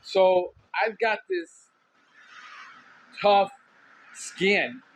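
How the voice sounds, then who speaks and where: A man from Miami with a Cuban Miami accent, hosting a podcast in a casual monologue, loud, outspoken and candid, smoking a cigar as he talks. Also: sits high, lively intonation, drawn-out words, rough recording. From Miami, USA. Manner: smoking a cigar while talking. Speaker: podcast host.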